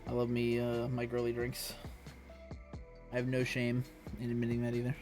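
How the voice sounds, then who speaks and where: A young man with a hoarse, scratchy voice, chatting casually with viewers during a live stream at home. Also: mid-range voice, completely flat delivery, normal speed, darkish tone. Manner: laid-back, chatty, talks to viewers. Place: room at home. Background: music playing.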